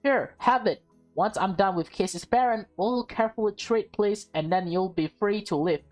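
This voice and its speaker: A woman voicing a nervous teenage boy disguised as a polite maid in a visual novel, halting and overly formal. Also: medium pitch, a little mellow, soft background music, natural voice. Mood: secretive, determined. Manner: halting, stammering, overly formal when covering up. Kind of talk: visual novel dialogue read in character.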